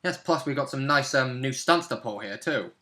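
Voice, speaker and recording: A young man with a bright, sharp voice at a middling pitch chats casually about a tabletop game session. He sounds like a player co-presenting from a small room at home, on a webcam. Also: animated, normal speed. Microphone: webcam at arm's length.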